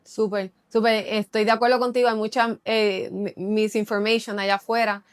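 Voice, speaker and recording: A woman's voice: medium pitch, flat delivery, normal speed, smooth, no room sound, studio quality. A woman with a Puerto Rican Spanish accent interviewing a guest in a friendly way, mixing English words into her Spanish. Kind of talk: interview questions.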